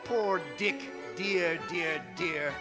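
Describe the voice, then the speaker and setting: An actor playing a fearful, regretful old miser in a theatrical radio drama, stammering and exclaiming, with music under him in a reverberant hall. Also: male voice, high voice, very slow. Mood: joyful, nostalgic. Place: performing arts center stage.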